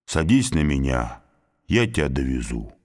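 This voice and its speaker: A man doing a slow, dim storybook wolf. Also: extremely low pitch, very animated, voice pushed down, full character voice. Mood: trusting. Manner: slow, plodding, simple. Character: gullible grey male wolf, slow and dim.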